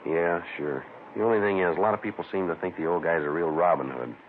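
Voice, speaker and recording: An actor playing a terse, businesslike police detective who narrates his case in a clipped, deadpan, matter-of-fact way, in 1950s radio drama style. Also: sits low, fast, lightly acted. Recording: lo-fi noisy 1950s radio recording.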